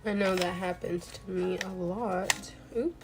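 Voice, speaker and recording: A young woman with a lowish voice, still recovering from a cold, chats while she crafts. She rambles along with plenty of "like" fillers and easy asides. Faint music plays behind her. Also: fairly even intonation, unhurried. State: voice a bit off from a cold. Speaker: crochet streamer. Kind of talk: casual chat while crafting. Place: home crafting setup.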